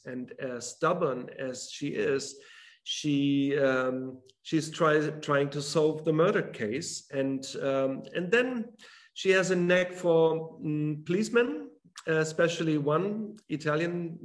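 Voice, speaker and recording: German man, fifties, slightly high voice, halting with restarts, webcam call. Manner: halting, with many restarts and fillers. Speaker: author guest. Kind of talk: casual interview talk. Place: home room with a large drawing on the wall. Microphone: video call webcam.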